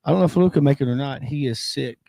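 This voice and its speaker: A man's voice: medium pitch, fast, vocal fry, dark tone, no room sound, studio quality. A man, co-hosting a casual wrestling talk show and telling a rambling story, fighting a lingering cold. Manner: rambling, many fillers, chuckles at his own story. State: has a lingering cold, keeps losing his voice. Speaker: co-host of a local wrestling and sports show.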